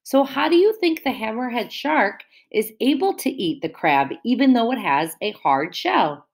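A woman's voice: a little high, animated, moderate pace. A woman teacher reading a picture book aloud to young children, explaining words and asking them questions in a sing-song way.

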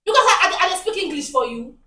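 A woman's voice: very high voice, very animated, fast, sharp tone, exaggerated voice. A woman with a Nigerian accent playing an indignant young barber, protesting in exasperation and repeating herself in naturalistic drama dialogue. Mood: angry. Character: indignant young female home-service barber fending off harassment. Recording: distant mic, echoey room, noisy.

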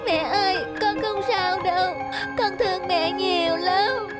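A woman's voice: very high voice, unhurried. A woman voicing an earnest, loving little schoolgirl in a children's cartoon, hesitant and pleading. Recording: music behind the voice.

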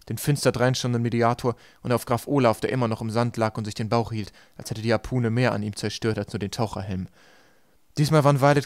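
Male audiobook narrator: medium pitch, scripted storybook delivery, dry close sound. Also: no echo. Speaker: audiobook reader.

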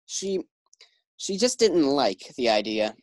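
A woman's voice: a bass voice, sing-song, slow, a little mellow, no room sound, full character voice. A woman playing an eager, bumbling young husband in a 1940s-style radio comedy. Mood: nervous. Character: young husband George, eager bank clerk.

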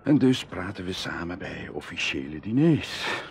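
A hard-up aristocratic bachelor in a radio play, lovesick and proud. His low male voice swings widely and dramatically between hushed confiding and passionate outbursts. There is clear noise on the old recording. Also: sing-song, moderate pace, heavily acted. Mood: frustrated. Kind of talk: Dutch radio drama acting.

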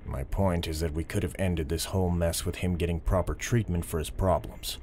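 An actor playing a principled, stubborn police officer who speaks in a measured, firm and formal way in an audio drama. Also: male voice, low-pitched, fairly even intonation, a little mellow, a little hiss or hum, lightly acted. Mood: earnest, controlled.

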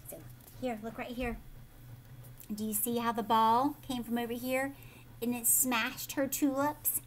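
An American woman reads a children's story in a high voice. She takes her time and is expressive, often turning to the listener with questions. It is recorded at home with faint background noise. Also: unhurried. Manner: animated, prompting listeners with questions. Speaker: teacher reading a picture book aloud to children. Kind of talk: expressive children's read-aloud with asides to the listener. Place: living room at home, indoors.

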